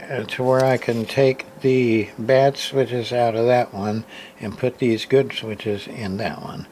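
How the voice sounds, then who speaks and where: A man casually narrating hands-on repair work, thinking aloud in a rambling, halting way and muttering to himself. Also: mid-range voice, a little mellow. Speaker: hobbyist radio repairer. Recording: clear background noise, room ambience.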